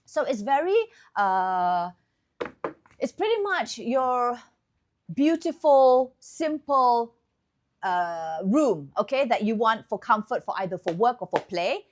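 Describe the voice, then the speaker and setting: A woman's voice: a little high, very animated, slow. A woman with a Malaysian accent hosting a chatty, upbeat promotional tour of a hotel room indoors. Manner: chatty, upbeat sales patter. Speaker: host.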